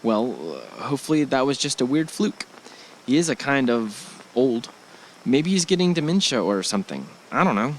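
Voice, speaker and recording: A man's voice: medium pitch, big pitch swings, normal speed, slight background noise, low-quality recording. A man acting an affectionate, reassuring young husband in an amateur audio drama, speaking in a casual, slightly hesitant way. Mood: uneasy. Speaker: actor in an amateur radio drama. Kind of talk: naturalistic audio drama dialogue.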